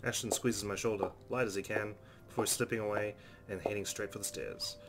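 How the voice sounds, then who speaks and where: A man reading first-person visual novel narration aloud as a let's player, in an earnest, expressive storytelling style. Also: on the low side, a little fast, crowd noise. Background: soft game music.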